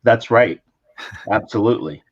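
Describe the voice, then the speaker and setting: A man's voice: on the low side, normal speed, slightly rough, lo-fi sound. A man co-hosting a casual remote chat who laughs often and repeats words as he searches for them. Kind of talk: casual podcast chat over a call. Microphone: remote call mic.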